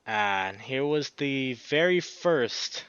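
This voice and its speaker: A man's voice: medium pitch, animated, drawn-out words, a bit bright, clear, resonant tone, dry recording, highs cut off. A man giving casual, emotional gameplay commentary as a let's play host, effusive and exclamatory, drawing out his words over game music.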